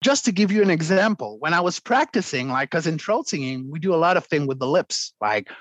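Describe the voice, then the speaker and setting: A man, a guest vocalist, explaining extreme singing in a conversational interview, clearing his throat often between demonstrations. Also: on the high side, very animated, brisk, no echo.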